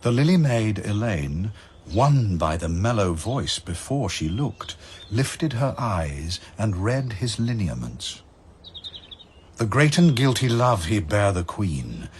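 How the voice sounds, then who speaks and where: A low-voiced man narrates scripted verse drama, solemn and theatrical. His delivery is slow with wide swings of pitch, over faint ambience. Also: slight background noise.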